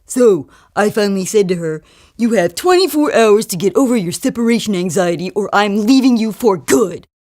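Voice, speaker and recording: A woman's voice: medium pitch, lively intonation, moderate pace, mellow, natural voice. Woman mimicking a smug, self-absorbed man. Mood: callous boasting. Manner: boastful, smug. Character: self-absorbed, arrogant jerk men on bad dates.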